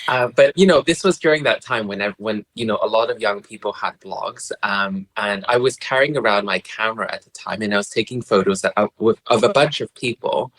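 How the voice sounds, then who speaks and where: A polite young man from Brunei with a Canadian-tinged English accent, chatting as a podcast guest over a remote call, soft-spoken and hesitant with frequent restarts. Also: lowish voice, fairly quick, hardly any pauses. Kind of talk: casual chat.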